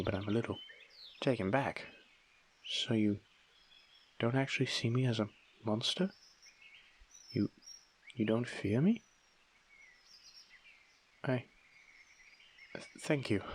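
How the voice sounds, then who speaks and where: A man playing a lonely, young-looking aristocratic vampire in an intimate audio roleplay, guarded yet tender, with formal old-fashioned wording and a soft breathy delivery. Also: medium pitch, slight background noise, close to an everyday voice. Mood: flustered, moved. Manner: formal, old-fashioned wording, hesitant stammers when moved. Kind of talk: ASMR audio roleplay, intimate dramatic monologue.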